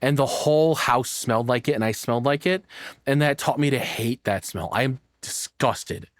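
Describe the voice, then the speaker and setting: Man, medium pitch, casual reaction streamer, rambling, jokey, sweary, dry recording. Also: normal speed, no room sound. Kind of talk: casual off-the-cuff reaction commentary.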